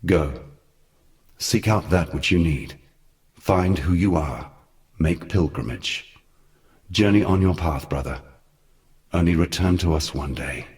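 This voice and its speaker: An actor playing a grave, noble god who guides mortals, speaking in a solemn, measured, lofty way for a fantasy game. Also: male voice, sits low, a little mellow. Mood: forgiving, earnest. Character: grave, noble brother god, guiding mentor of exiles. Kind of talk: dramatic fantasy game voice acting.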